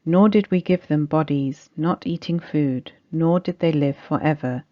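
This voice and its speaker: A woman's voice: sits low, measured pace. A woman reading a scripted religious study text aloud as narration. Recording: dull, muffled lo-fi recording.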